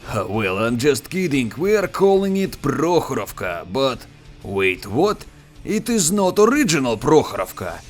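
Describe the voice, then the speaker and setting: A man's voice: unhurried, faint music behind. A man with a Russian accent, a native Russian speaker, narrating a tank battle replay in a playful, joking commentary style. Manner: playful, joking, expressive with sudden pitch jumps. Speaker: gameplay commentator. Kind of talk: scripted game commentary voice-over.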